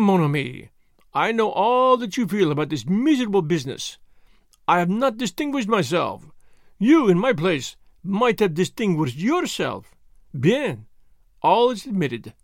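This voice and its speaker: Guy doing a cheerful fussy little detective for an audiobook, theatrical and polite, pitch jumps all over, dry sound. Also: very animated, unhurried, no echo, full character voice. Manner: theatrical, formally polite, precise. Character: dapper little Belgian detective, cheerful, courteous, self-assured man.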